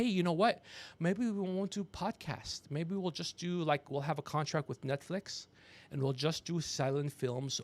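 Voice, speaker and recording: A man hosting a livestream in a rambling, casual, joking way, chatting to someone off mic as he goes. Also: a little high. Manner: rambling, joking, self-promoting, talks to off-mic crew member. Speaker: podcast host and comedian. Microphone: podcast microphone with headphones.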